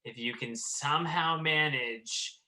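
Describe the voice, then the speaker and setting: A man's voice: fairly high pitch, slow pace, sharp tone. A man in a casual group discussion, talking in a wry, emphatic way as a host.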